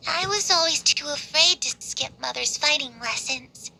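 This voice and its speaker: A woman voicing a gentle, wistful, playful young girl in a video game, sincere and dreamy. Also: high voice, moderate pace, vocal fry, exaggerated voice, rough recording. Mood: curious, playful. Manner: soft-hearted, sincere, dreamy.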